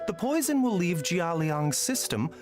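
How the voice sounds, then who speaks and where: Courteous game physician, measured formal phrasing, lively melodic intonation, music underneath. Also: male voice, high-pitched. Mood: calm, serious. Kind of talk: anime game voice acting.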